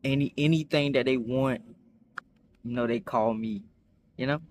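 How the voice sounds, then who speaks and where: A man's voice: fairly even intonation, normal speed, a little hiss or hum. Rambling young man telling a storytime. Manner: rambling, many fillers and restarts; acts out quotes with high voices. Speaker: vlogger. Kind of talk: casual storytime vlog.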